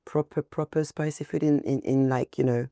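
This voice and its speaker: A man's voice: mid-range voice, steady tone, moderate pace, vocal fry, dark tone, no room sound, studio quality. A young man with a French accent, a guest chatting casually about food, searching for words as he talks. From France. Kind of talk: casual chat.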